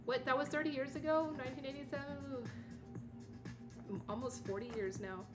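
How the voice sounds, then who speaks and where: A woman's voice: sits high, steady tone, moderate pace. Woman reading aloud over background music. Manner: reads steadily, breaks off into chatty, surprised comments. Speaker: livestream host. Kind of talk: reading an article aloud with casual asides. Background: music playing underneath.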